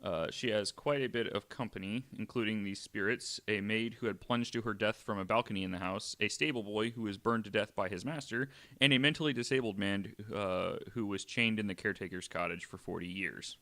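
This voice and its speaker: A young adult man hosting a podcast, reading from notes with frequent "uh" fillers and adding wry, deadpan asides. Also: fairly low pitch, a little fast, hardly any pauses. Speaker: podcast host.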